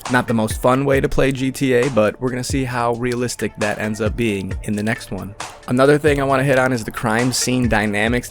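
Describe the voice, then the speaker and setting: A man giving casual, conversational commentary on video game rumors as a gaming content creator. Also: mid-range voice. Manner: informal, conversational, speculative. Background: background music.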